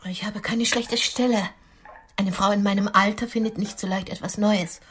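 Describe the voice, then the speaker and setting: A woman's voice: medium pitch, fairly even intonation, moderate pace, slightly rough, close to an everyday voice. An actress playing a disillusioned middle-aged lady on a blind date in a radio drama, dry, pointed and wounded.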